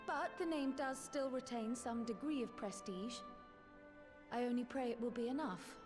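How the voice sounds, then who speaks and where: Fantasy game emissary, played by a woman: young female scholar, high voice, measured and resolute, over clear music. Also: lightly acted. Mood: earnest. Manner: formal, measured, articulate. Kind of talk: fantasy video game voice acting.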